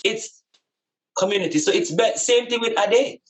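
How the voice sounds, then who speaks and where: A man's voice: high-pitched, moderate pace. A man talking casually and reflectively about life in an earnest, emphatic way, repeating phrases for emphasis. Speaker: podcast guest speaker on spirituality and community. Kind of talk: casual reasoning, reflective spiritual talk.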